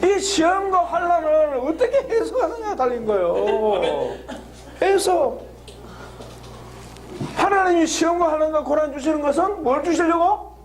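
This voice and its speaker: A man preaching a lively sermon, laughing often and drawing call-and-response answers from the congregation with frequent questions. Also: very high voice, a little slow, a crowd in the background. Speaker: pastor. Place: church hall with congregation.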